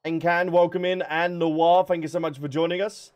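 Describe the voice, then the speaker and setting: Higher-pitched man chatting on a game livestream. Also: flat delivery, normal speed, a little mellow, dull audio. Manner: chatty, addresses viewers by name. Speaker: live streamer. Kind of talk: casual game livestream chat. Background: game music.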